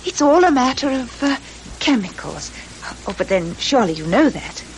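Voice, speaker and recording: An eager, chattering British secretary in a vintage radio drama. Her high voice swoops about in a fluttery, hesitant way. The old recording has a little noise behind it. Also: very animated, moderate pace, rough recording. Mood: chatty, musing.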